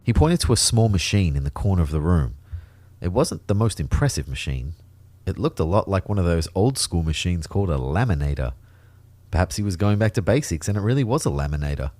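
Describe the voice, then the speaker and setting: A man's voice: sits low, a little mellow, no room sound. An actor playing an anxious everyman who narrates a sci-fi audio drama in a wry, understated way. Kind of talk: scripted first-person audio drama narration.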